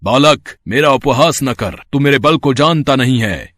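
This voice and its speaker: A proud warrior sage from a devotional storybook tale, acted by a man. He speaks formal, declamatory lines at a middle pitch. The sound is close and dry. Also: animated, a little slow, a bit bright, dry recording. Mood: threatening. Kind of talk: acted dialogue in a Hindi mythological story.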